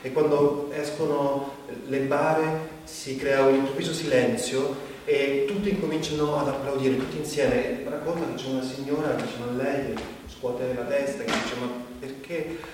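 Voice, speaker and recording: An actor playing a troubled man in a bleak, questioning stage monologue, speaking with halting repeated phrases. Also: mid-range voice, fairly even intonation, darkish tone, slight background noise, natural voice. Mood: somber. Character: troubled man reflecting bleakly on crisis and despair. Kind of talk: theatrical monologue. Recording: distant, very echoey hall, music behind.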